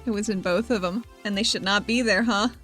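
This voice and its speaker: A woman's voice: mid-range voice, steady tone, a little fast, a bit bright, faint music behind, natural voice. A woman voicing a casual, breezy, slightly awkward female investigator in a tabletop game. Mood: indignant. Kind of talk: tabletop roleplay character voice.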